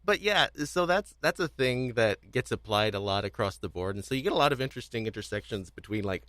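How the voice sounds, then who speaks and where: Man, lowish pitch, dry close sound, casual podcast co-host, rambling, repeats phrases, chuckly. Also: lively intonation, a little fast, fairly bright tone, no echo.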